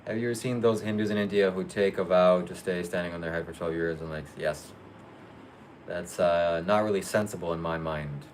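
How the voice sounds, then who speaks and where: A man hosting a casual live Q&A, reading viewer questions aloud and answering them briefly in a matter-of-fact, low-key way. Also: a little fast, slight background noise. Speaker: livestream host.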